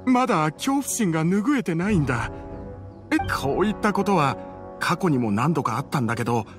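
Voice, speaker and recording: A frightened, apologetic village man from an anime game. He speaks hesitantly with a lively, jumping pitch, and music is clearly heard behind him. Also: moderate pace.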